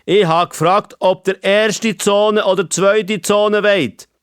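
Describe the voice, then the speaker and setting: A man's voice: sits high, fairly even intonation, slow pace, fairly bright tone, clean tone, dry recording, studio quality, pitched above the performer's own voice. An old-fashioned, stern civil servant from a children's story, acted out by a male narrator. He speaks with a Swiss German accent in a formal, insistent way, helpful but thoroughly pedantic. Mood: loud, insistent.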